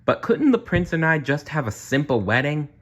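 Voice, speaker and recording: A man voicing a sweet, modest young princess-to-be from a storybook, gentle and earnest. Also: fairly high pitch, big pitch swings, moderate pace, voice pushed up. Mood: polite, hesitant.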